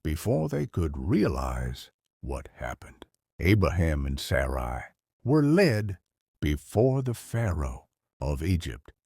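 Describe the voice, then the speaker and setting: A man narrating a scripted story solemnly as narrator, with a husky voice. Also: extremely low pitch, sing-song, slow pace, dark tone, no room sound, clean studio sound.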